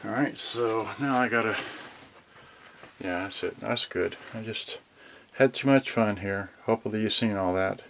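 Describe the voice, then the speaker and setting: A man's voice: fairly low pitch, moderate pace, creaky, fairly bright tone. A man giving a casual, unscripted unboxing as host, rambling and thinking aloud, often trailing off mid-thought. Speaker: host of an electronics unboxing channel. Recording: noisy home video with clicks.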